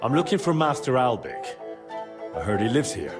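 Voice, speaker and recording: A man's voice: mid-range voice, big pitch swings, moderate pace, music in the background. An actor playing a dutiful, dry young medieval man-at-arms in a video game, direct and courteous. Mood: businesslike. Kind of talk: video game cutscene acting.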